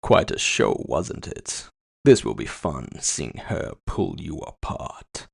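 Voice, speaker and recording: A male narrator's take on a theatrical cyberpunk villain in audio fiction. The voice sinks very low into a hollow, gravelly murmur. It moves slowly, with mocking courtesy and gloating menace. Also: big pitch swings, darkish tone, full character voice. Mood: menacing amusement. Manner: slow, mockingly courteous, boastful. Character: gloating, theatrical masked male villain with mind-control powers.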